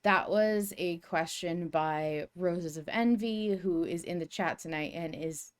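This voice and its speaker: A woman's voice: on the low side, a little slow. A woman hosting a podcast in a casual fan discussion, explaining things carefully and with emphasis. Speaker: podcast host.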